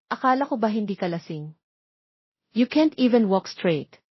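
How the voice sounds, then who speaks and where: A female narrator plays a cool, bossy young man in a romance audiobook. He is commanding and teasing and drops into English, in a slightly husky voice on a somewhat dull recording. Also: mid-range voice, expressive, moderate pace, dry recording. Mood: scolding, teasing.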